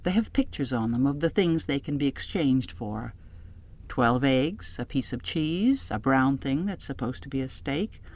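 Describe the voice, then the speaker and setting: A woman with a low voice narrates a literary audiobook in the first person. Her delivery is measured and scripted, with phrase endings that fall away toward a near-whisper. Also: animated, darkish tone.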